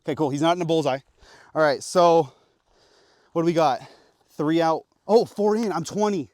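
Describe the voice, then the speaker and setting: A man's voice: fairly high pitch, moderate pace, darkish tone, dry recording, clean studio sound. Hyped young guy vlogging outdoors. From Pacific Northwest of the US. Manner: hyped, slangy, talks to camera. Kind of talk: excited outdoor archery vlog. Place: open public land outdoors. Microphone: action camera.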